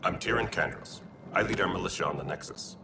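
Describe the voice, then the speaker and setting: Sci-fi game militia chief: very low, rough, grim, terse, noisy background. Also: male voice, fast talker, recorded from a distance. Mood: grim, weary. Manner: terse, grave. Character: weary, grim male space-station militia leader.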